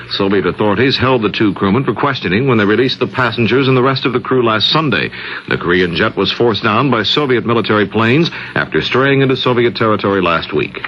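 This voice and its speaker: A man's voice: medium pitch, a bit bright. An American man reading a scripted radio news bulletin as the anchor. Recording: muffled, noisy 1970s radio broadcast.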